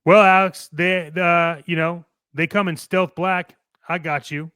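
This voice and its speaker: A man's voice: sits high, fairly even intonation, measured pace, sharp tone, clear, resonant tone, no room sound, studio quality. A man co-hosting a hobby talk show, moving between opinionated chat and brash, playful, slangy ad reads. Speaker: co-host of a toy-collecting show.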